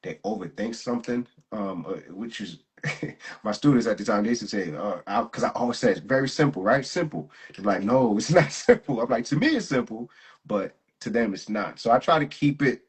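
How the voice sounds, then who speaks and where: Host role: a man with a lowish, husky voice, laid-back and slangy, laughs easily. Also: a little fast. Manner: relaxed, laughing, slangy, repeats words. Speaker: jiu-jitsu instructor and host. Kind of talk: casual live interview chat.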